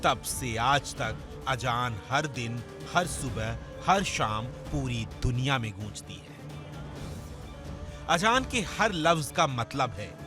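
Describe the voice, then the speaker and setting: A man's voice: medium pitch, very animated, takes it slowly. A man delivering scripted, dramatic news documentary narration in a solemn, emphatic storytelling manner. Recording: music under the voice. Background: background music.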